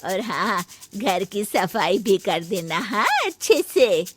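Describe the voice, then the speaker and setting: A woman's voice: medium pitch, lively intonation, slow, a little hiss or hum. A woman voicing a bossy older mother-in-law in a cartoon story, giving household orders in a matter-of-fact way. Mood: instructing.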